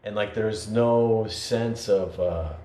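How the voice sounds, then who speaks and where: A man's voice: lowish voice, takes it slowly, warm tone. A man co-hosting a podcast, talking in an earnest, reflective way with many fillers and restarts. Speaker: podcast co-host. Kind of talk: reflective conversational talk. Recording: echoey room with faint noise.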